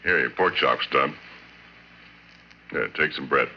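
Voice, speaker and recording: A man's voice: very deep voice, takes it slowly, full character voice. An actor playing a folksy, easygoing oil rig hand with colloquial working-man talk in an old-time radio horror drama. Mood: easygoing, joking. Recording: dull, noisy old radio recording.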